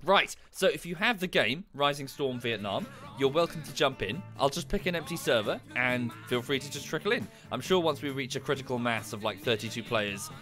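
A man's voice: mid-range voice, a bit bright. A man livestreaming from home, chatting casually and thanking viewers, a little nasal and bunged up from a cold. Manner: rambling, thanks viewers in passing. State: coming down with a cold.

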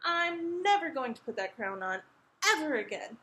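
A woman's voice: on the high side, sing-song, measured pace, pushed and bright, pitched above the performer's own voice, heavily acted. A woman voicing a stubborn, tomboyish young princess in a children's storybook, defiant and emphatic. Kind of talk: children's storybook read-aloud.

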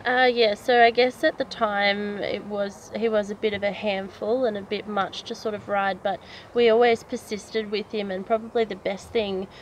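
Even-toned young Australian woman, outdoor noise. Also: medium pitch, moderate pace, poor audio. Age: 20s. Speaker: amateur dressage rider being interviewed. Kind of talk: casual interview chat. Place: outdoors at wooded pony club grounds. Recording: outdoor ambience with background noise.

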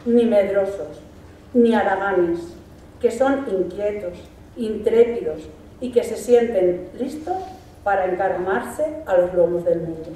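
Spanish teacher lady reading her graduation speech, mellow voice, big echoey hall, mic far off. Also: mid-range voice, slight background noise. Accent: Spanish from Spain. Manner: measured, emphatic delivery with lists. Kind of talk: formal ceremonial speech read from a lectern. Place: large hall stage. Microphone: stand mic at a lectern. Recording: echoey hall, recorded from afar.

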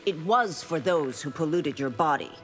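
A woman's voice: deep voice, animated, normal speed. An actress playing a stern, righteous warrior woman in a fighting game, solemn and accusing. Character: stern, righteous female warrior condemning evil. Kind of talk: fighting game character dialogue. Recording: game music behind the voice.

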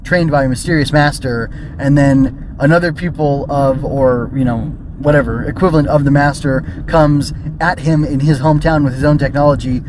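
A man's voice: mid-range voice, steady tone, normal speed, few pauses, background noise. A man hosting a casual solo review podcast, rambling and thinking aloud with tentative digressions. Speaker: podcast host and film reviewer.

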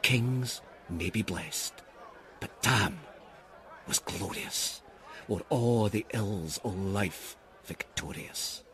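A man with a Scottish accent giving a theatrical, expressive recitation of narrative poetry in Scots. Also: on the low side, drawn-out words. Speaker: poetry reader.

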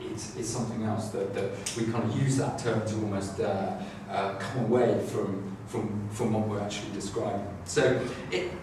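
Man, middle-aged, halting lecture delivery, distant mic, very echoey classroom. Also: on the low side, steady tone, noisy background. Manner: halting, many restarts and fillers. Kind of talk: academic lecture. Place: classroom with a screen, indoors. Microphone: far camera. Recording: echoey room, far from the camera mic.

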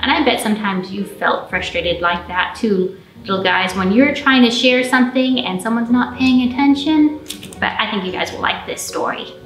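A woman in her thirties speaking to young children as a storytime host, in an animated, encouraging way with drawn-out emphasis. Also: fairly high pitch, faint music behind. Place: indoor library storytime room. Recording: roomy indoor echo.

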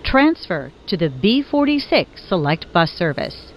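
A woman's voice: fairly low pitch, sing-song, measured pace. A woman reading scripted transit announcements as a train announcer. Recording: dull, lo-fi announcement recording.

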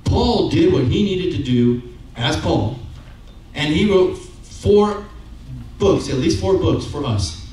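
A man's voice: on the high side, lively intonation, slow pace, darkish tone. Middle-aged guy preaching, keeps saying okay, right, big echoey church, far off mic, faint hiss. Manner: walks around the stage with a handheld mic. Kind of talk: sermon. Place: church sanctuary with brick wall. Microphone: handheld microphone, far camera.

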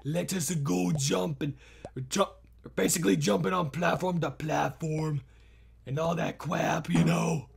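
A man voicing an excitable, dim-witted clone character with childish broken grammar while commentating on a video game. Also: a little high, a little slow, gravelly. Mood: excitable, cheerful. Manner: broken third-person grammar, mild swearing, repetitive exclamations. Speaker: gaming video host.

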